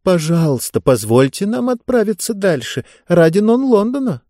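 Man voicing a high-pitched, earnest schoolgirl. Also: very animated, moderate pace, dark tone, no room sound, clean studio sound. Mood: anxious pleading. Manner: careful, hesitant, courteous. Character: polite, earnest, quick-witted schoolgirl, pleading and bargaining. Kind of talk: audiobook character voice.

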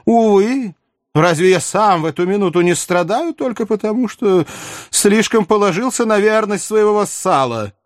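A man voicing a cunning, flattering French king in a historical audiobook, wheedling, exclamatory and ironic. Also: sits high, moderate pace, no echo, studio quality, pitched above the performer's own voice. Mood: aggrieved flattery. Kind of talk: dramatized audiobook reading of a historical novel.

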